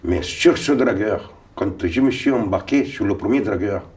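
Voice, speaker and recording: A man's voice: on the low side, moderate pace, darkish tone. An older man from Tunisia, whose first language is Sicilian, recalls his navy days in a rambling way, often repeating and restarting words. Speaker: interviewee. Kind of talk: recounting memories. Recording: voice a little far from mic.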